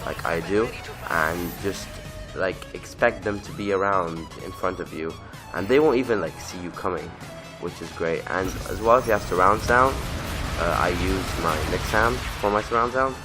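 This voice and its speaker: A man's voice: low-pitched, moderate pace, over music. A man with a cold, giving casual, rambling gameplay commentary from his bedroom and often correcting himself. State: voice rough from a lasting cold. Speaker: gaming channel host. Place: bedroom at home.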